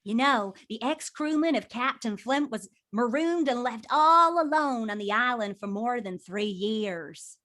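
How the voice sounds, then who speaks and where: A woman playing a high, bright, plucky cartoon firefly. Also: lively intonation, unhurried, few pauses. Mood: thoughtful. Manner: grand, declamatory, eager. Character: plucky theatrical female firefly playing a swashbuckling pirate leader. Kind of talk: animation script table read.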